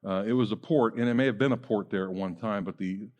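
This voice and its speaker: A middle-aged man preaching a teaching sermon as a pastor, talking conversationally with many fillers and quick asides. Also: fairly low pitch, darkish tone, dull audio. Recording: long hall reverb.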